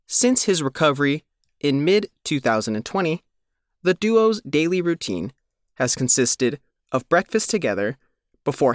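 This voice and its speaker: A man reading a scripted news feature as its reporter, in measured, deliberate short phrases. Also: a little high, no room sound, studio quality. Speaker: reporter reading his own written feature.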